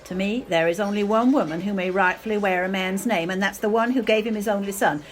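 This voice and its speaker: A woman's voice: mid-range voice, fast, close to an everyday voice. A woman acting a melodramatic, self-pitying, clinging middle-aged mother in a radio play, with theatrical, affected speech. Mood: bitter, sarcastic. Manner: theatrical, affected, sprinkles in foreign phrases.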